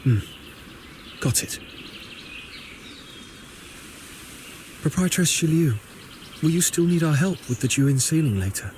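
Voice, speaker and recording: A man's voice: fairly high pitch, lively intonation, moderate pace, a little mellow, slight background noise, lightly acted. A man playing an earnest, caring young hero in a video game, speaking sincerely. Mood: uncertain. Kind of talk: video game character voice acting.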